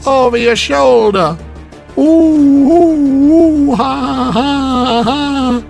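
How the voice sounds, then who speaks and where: A man's voice: drawn-out words, gravelly, darkish tone, faint music behind, exaggerated voice. A man voicing a crazed, haunted idiot with wild shrieking cries in an audiobook ghost story. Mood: manic, shrieking. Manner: shrill shrieking cries with cackling.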